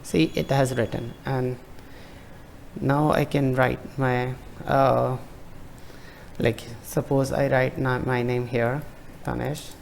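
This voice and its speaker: A man giving a screen-recorded walkthrough of a form, hesitant and halting with many fillers and restarts. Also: mid-range voice, little pitch movement, vocal fry, slight background noise. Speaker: tutorial presenter.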